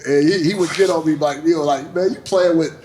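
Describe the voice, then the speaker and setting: A man's voice: a little high, fairly even intonation, fairly quick, darkish tone, slight background noise. A man in his late thirties hosting a podcast interview, trading loose, joking banter full of slang. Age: 30s. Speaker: podcast host. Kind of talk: casual interview chat.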